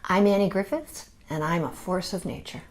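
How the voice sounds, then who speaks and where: A woman giving a warm, enthusiastic scripted announcement of an award. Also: fairly low pitch, normal speed.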